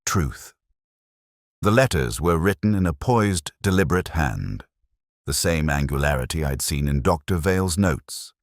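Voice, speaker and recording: Very deep-voiced male story narrator. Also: animated, no echo, clean studio sound. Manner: measured, literary, brooding. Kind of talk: scripted first-person detective story narration.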